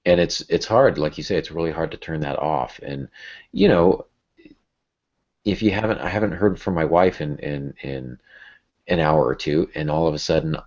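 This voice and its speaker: A man speaking hesitantly in a casual, reflective podcast conversation, halting and repeating words as he goes. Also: very deep voice, a little mellow. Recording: dull, muffled lo-fi audio.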